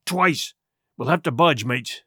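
A man voicing a rough pirate henchman calling out in seafaring talk, in a storybook reading. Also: on the high side, slow pace, sharp tone, no echo, studio quality, pitched below the performer's own voice, full character voice. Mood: grim, wary.

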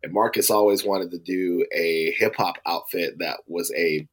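A man's voice: deep voice, moderate pace. A man co-hosting a casual music podcast, talking about musicians he works with and laughing often. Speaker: podcast co-host. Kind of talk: casual chat.

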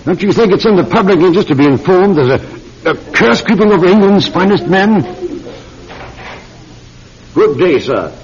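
An actor playing a pompous, imperious British newspaper lord in a radio drama, speaking with indignant bluster. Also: male voice, sits high, lively intonation, normal speed, pauses often, a little mellow. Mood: indignant, threatening. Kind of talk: old-time radio drama acting. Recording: dull old radio recording.

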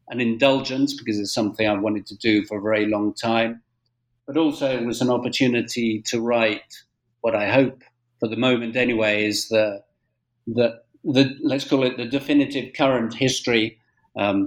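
British male historian, middle-aged, conversational interview guest, measured and hesitant delivery. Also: medium pitch, poor audio. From Britain. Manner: halting, measured, frequent hesitations. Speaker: guest author, historian and journalist.

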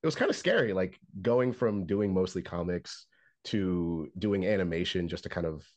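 Young guy w a lowish voice rambling excitedly on a podcast, dry close mic. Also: normal speed, dry recording. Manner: rambling, frequent restarts and fillers, upbeat. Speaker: podcast guest, comic artist and animator. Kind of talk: casual chat.

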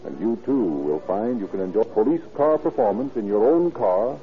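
A man's voice: lowish voice, animated, normal speed, faint music behind. A man announcing and narrating in a polished, formal 1930s radio style from a script. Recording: muffled vintage radio recording.

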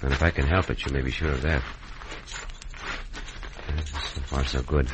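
An actor playing a calm, gallant Mexican cowboy hero in an old radio western, speaking formally and carefully. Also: male voice, extremely low pitch, moderate pace. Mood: composed, watchful. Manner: formal, careful, uncontracted speech. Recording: noisy old radio recording.

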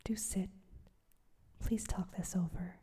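A woman voicing an earnest, lovestruck young man in a soft whisper. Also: on the low side, steady tone, slow pace, slightly rough, exaggerated voice. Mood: pleading. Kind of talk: whispered audiobook reading.

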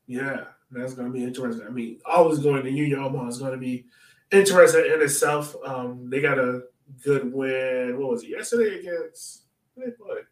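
A man's voice: mid-range voice, a little fast. A man hosting a casual sports podcast, chatting and asking questions in a loose, conversational way. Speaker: podcast host. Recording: roomy echo, distant mic.

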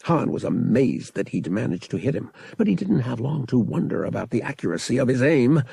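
A man reading an audiobook as a dramatic, suspenseful story with expressive delivery. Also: mid-range voice, brisk, creaky, breathy edge, no echo. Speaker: audiobook narrator.

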